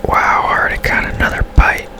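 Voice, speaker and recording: A man whispering casual, unhurried ASMR commentary as he plays a video game. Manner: whispering throughout. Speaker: gaming streamer.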